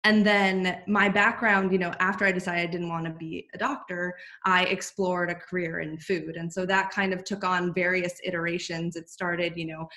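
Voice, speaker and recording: A woman's voice: on the low side, little pitch movement, normal speed, hardly any pauses, pushed and bright. A woman, chatting as a podcast guest with many fillers and restarts. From United States. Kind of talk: casual interview chat.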